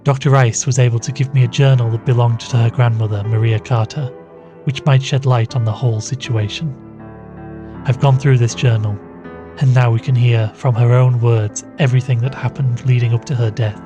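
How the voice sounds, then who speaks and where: Actor as earnest investigator in audio drama: mid-pitched male, grave, deliberate case recap, clear music bed underneath. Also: fairly even intonation, lo-fi sound, lightly acted. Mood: grave, apprehensive.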